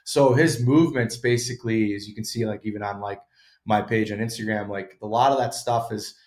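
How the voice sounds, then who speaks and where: A man in his thirties talks in a fairly low voice as a guest on an interview podcast. He explains health habits in a loose, conversational way and often hedges what he has read. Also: steady tone, brisk, darkish tone. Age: 30s. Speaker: podcast guest, former pro hockey player. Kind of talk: casual interview chat.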